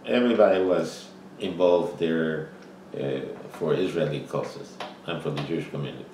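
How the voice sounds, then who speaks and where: Older Costa Rican man, very deep voice. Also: darkish tone. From Costa Rica. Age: 70s. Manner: halting, frequent "you know" fillers. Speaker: interviewee. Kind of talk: oral history interview. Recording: roomy, distant mic with faint noise.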